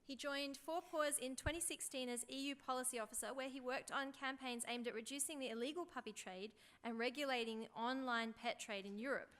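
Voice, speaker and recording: A woman's voice: sits high, a little fast, hardly any pauses, fairly bright tone. A woman hosting a conference session, reading out a formal introduction for a speaker.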